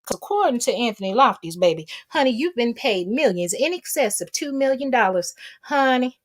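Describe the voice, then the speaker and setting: A woman with a fairly high-pitched voice. She co-hosts a gossip show in a casual, animated style. Her delivery is sassy banter, peppered with terms of endearment. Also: brisk, a little hiss or hum. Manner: sassy, animated banter with repeated pet names. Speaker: talk show co-host.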